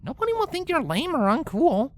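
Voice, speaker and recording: Woman playing a high, bookish cartoon pony princess. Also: sing-song, fairly quick. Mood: reassuring. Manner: precise, patient, explanatory. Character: polite, bookish, pedantic young female cartoon pony princess. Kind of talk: character acting in a fan-fiction story reading.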